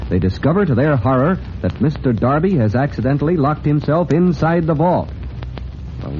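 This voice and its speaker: An American man announces and narrates a vintage radio serial. His delivery is emphatic and theatrical, at a middling pitch. The old recording is muffled with some background noise.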